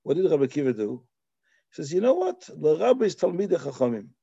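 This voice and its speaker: A man's voice: on the high side, very animated, quick pace, vocal fry, dark tone, husky, no echo, dull audio. A man raised in Belgium giving a Talmudic lecture, explaining passages with Hebrew and Yiddish terms and frequent restarts. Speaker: Torah teacher.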